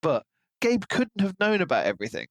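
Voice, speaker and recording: A man's voice: sits high, a little fast, dry recording, studio quality. A man co-hosting a book podcast, talking casually and animatedly, often slipping into mock dialogue to make his point. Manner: animated, acts out imagined dialogue mockingly. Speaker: podcast co-host reviewing books.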